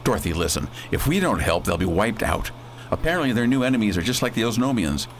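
A man's voice: lowish voice, very animated, quick pace, slight background noise. A man playing a breezy, decisive space adventurer who leads in slangy, commanding talk in a radio drama. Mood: firm.

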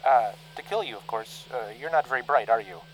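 A man's voice: mid-range voice, animated, fairly quick, soft background music, natural voice, rough recording. A man playing a smug, sarcastic enemy ship commander with a mocking manner in a comedic radio play. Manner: mocking, sardonic. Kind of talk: comedic radio theatre parody acting.

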